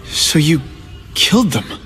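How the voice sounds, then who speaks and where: Earnest, higher-voiced young interviewer. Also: male voice, sing-song, slow, a bit bright, soft background music, heavily acted. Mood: uneasy. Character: earnest, hesitant young male news photographer interviewing. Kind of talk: video game cutscene acting.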